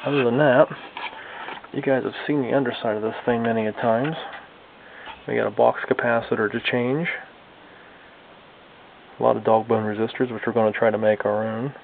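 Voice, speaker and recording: A man's voice: medium pitch, steady tone, moderate pace, gravelly, long pauses. A man giving a casual, hands-on demonstration to viewers as a hobbyist restorer, talking informally and folksily as if thinking aloud. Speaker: hobbyist radio restorer and video host. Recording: lo-fi, phone-like band, slight background noise.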